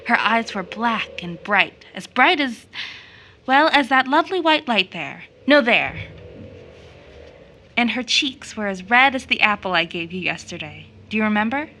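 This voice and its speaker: A woman's voice: fairly high pitch, pushed and bright. An actress playing a playful, tender young woman who tells stories expressively in an audio drama. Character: Rachel, warm playful young woman, motherly storyteller.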